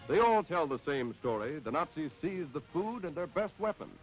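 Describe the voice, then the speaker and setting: An American man delivers vintage 1940s newsreel narration, pitched fairly high. His reading is scripted, declamatory and stirringly patriotic, set against prominent orchestral music on an old film soundtrack. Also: big pitch swings, moderate pace, rough recording.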